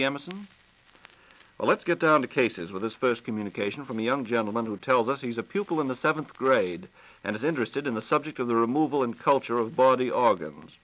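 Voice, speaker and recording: Bright-voiced 1940s male radio host. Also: mid-range voice, rough recording. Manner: polished, formal, courteous address to listeners. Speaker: radio program host and announcer. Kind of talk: 1940s radio announcing and interviewing. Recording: vintage 1940s radio broadcast recording.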